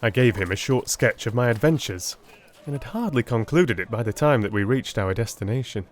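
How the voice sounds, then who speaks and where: A male narrator reads a period story as a dramatic audiobook. His mid-pitched voice moves expressively through the scripted first-person prose. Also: expressive, fairly quick.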